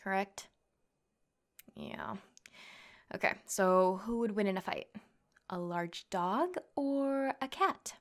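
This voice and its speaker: Female voice actor as tough young tsundere woman, cocky, taunting, bossy, mid-pitched voice, ASMR girlfriend roleplay. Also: animated, takes it slowly, long pauses. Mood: annoyed, bossy. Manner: brash, taunting, bossy, teasing.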